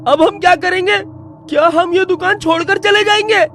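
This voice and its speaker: A woman's voice: very high-pitched, moderate pace, a bit bright, slight background noise. A woman voicing a flustered, deferential male shop servant in a cartoon, fawning and repeating words. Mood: panicked. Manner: alarmed, fawning, repeats himself.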